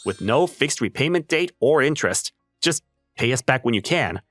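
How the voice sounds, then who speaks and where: A man playing an ordinary, level-headed high school boy who narrates a manga dub story in a wry, casual way. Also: expressive, moderate pace, a bit bright, no echo, faint music behind. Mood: wry, explanatory. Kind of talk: scripted first-person story narration and dub acting.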